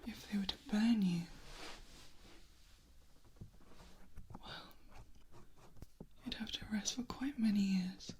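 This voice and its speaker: A woman voicing a gentle, protective, ageless vampire in a breathy whispered ASMR roleplay, confiding slowly and tenderly close to the microphone. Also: mid-range voice, fairly even intonation, lightly acted. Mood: tender concern. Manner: slow, hushed, tenderly confiding. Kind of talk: soft-spoken ASMR roleplay. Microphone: close ear-to-ear ASMR microphone. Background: noise, clicking and picking sounds.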